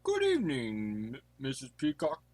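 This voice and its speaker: A man's voice: medium pitch, wildly swooping pitch, moderate pace. A man playing a formal, deferential butler in a fan audio drama, faint and distant on a very noisy recording.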